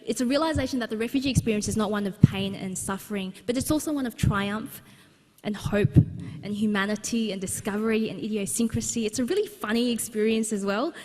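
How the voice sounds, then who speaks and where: A woman's voice: mid-range voice, brisk, few pauses, darkish tone. A young woman, an author, telling a personal story at a book launch in an earnest, conversational way with many restarts. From Australia. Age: young adult. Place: large exhibition centre hall. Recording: long hall reverb.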